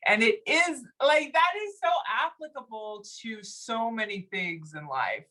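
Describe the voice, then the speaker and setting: A young adult woman from Texas, a singer talking as a guest in a casual conversation, laughing often and getting loud when excited. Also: a little high, a little slow. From Texas, USA.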